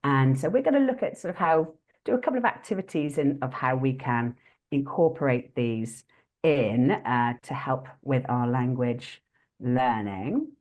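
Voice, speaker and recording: A woman hosting an interactive online teaching workshop, talking in a friendly, lively way with many fillers and restarts. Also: extremely low pitch, unhurried, muffled recording. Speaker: workshop host.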